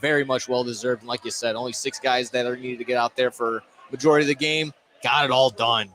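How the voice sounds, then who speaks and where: A man's voice: medium pitch, steady tone, fast, fairly bright tone. A man giving casual color commentary on a live sports radio broadcast from a gymnasium. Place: indoor school gymnasium. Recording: noisy gymnasium background.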